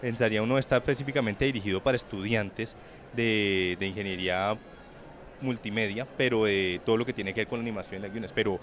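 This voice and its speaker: A man's voice: on the low side, steady tone, fairly quick, a bit bright. A man hosting an interview about a book launch, chatty and digressive, asking long conversational questions.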